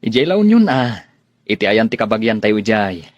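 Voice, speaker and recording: A man acting in a radio drama as a relaxed, agreeable relative chatting with family. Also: lowish voice, normal speed, dry recording, lo-fi sound. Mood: casual.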